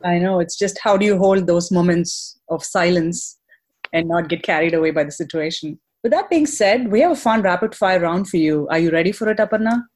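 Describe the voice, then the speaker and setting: A woman's voice: on the low side, fairly quick, a little mellow. A woman hosting a podcast, asking questions in an upbeat, encouraging way. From Chennai, India. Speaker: podcast host. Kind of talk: podcast interview.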